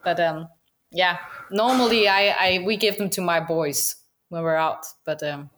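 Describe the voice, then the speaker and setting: Danish woman guest, lowish voice, halting casual English with a Danish accent, laughs easily. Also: fairly bright tone. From Denmark. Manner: halting, many restarts and fillers, laughs often. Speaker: guest goose hunter. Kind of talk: casual interview chat.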